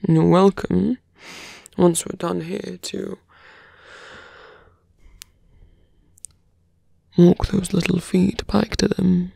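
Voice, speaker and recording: A man playing a seductive, menacing fantasy villain in an ASMR roleplay, soft-spoken and breathy, often slipping into whispers, with deliberate pauses. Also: high-pitched, flat delivery, no echo, studio quality, full character voice. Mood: taunting, coaxing. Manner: slow, deliberate, with long pauses.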